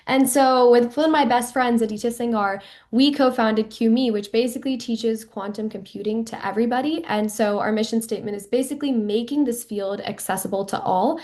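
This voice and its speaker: A woman's voice: a little high, brisk, hardly any pauses, a little mellow. A woman student on a panel, introducing her ventures in an earnest, enthusiastic way. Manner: earnest, enthusiastic, repeats "really".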